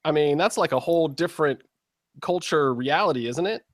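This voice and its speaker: A man's voice: a little high, fairly quick, no echo, studio quality. A man hosting a podcast, interviewing in a casual, chatty style.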